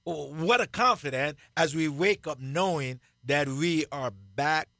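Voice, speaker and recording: A man with a Jamaican accent, preaching on a radio broadcast in a slow, emphatic way and repeating key phrases. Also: on the high side, a bit bright, no room sound, clean studio sound. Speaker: pastor. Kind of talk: radio sermon, co-hosted Bible teaching.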